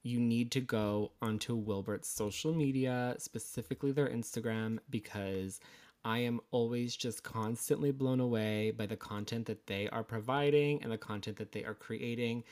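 A man's voice: mid-range voice, normal speed, a little mellow. A young man from Connecticut, co-hosting a podcast in bubbly, giggly chat. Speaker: podcast co-host. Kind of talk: casual chat.

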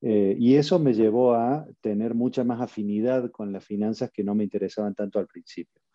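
A man's voice: on the low side, steady tone, a little fast, dark tone. A middle-aged man from Argentina with an Argentine Spanish accent, a podcast guest speaking in a wry, self-deprecating way. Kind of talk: casual interview chat. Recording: dry, muffled audio.

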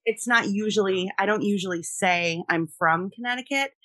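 A woman's voice: mid-range voice, moderate pace, bright, forceful tone, no echo. A middle-aged woman from Rhode Island, a military spouse and veteran, chatting as a podcast guest in a friendly way with frequent fillers. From Rhode Island, USA. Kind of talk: conversational podcast interview.

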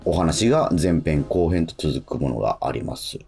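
A man hosting a casual radio show, with a halting delivery full of drawn-out fillers. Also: very deep voice, big pitch swings, vocal fry, warm tone, faint music behind.

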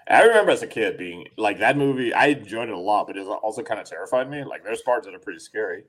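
A man's voice: lowish voice, lively intonation, quick pace, fairly bright tone. A man in his forties from Denmark, co-hosting a podcast in casual chat, rambling with many fillers and restarts. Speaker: podcast co-host.

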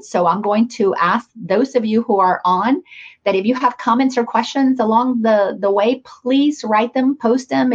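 A woman's voice: mid-range voice, highs cut off. Earnest woman host on a call. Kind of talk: spiritual interview show. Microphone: video call.

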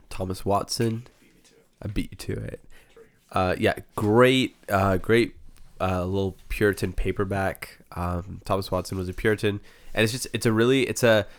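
Male podcast voice, slightly low, informal conversational delivery. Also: measured pace, pauses often. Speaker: podcast participant. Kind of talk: casual chat.

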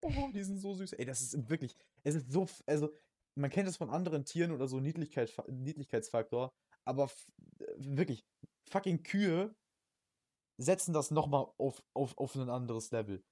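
A young man co-hosting a podcast in casual chat, using youth slang and often halting to search for words. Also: medium pitch, lively intonation, mellow, no room sound, clean studio sound. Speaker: podcast co-host.

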